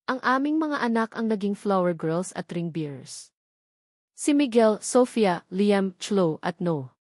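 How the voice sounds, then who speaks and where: A woman narrates a dramatic tale with earnest feeling. Her voice sits at a middle pitch, and the recording is close and dry, with no room around it. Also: expressive, unhurried, darkish tone, no room sound. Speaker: story narrator. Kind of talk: dramatic scripted audio story narration.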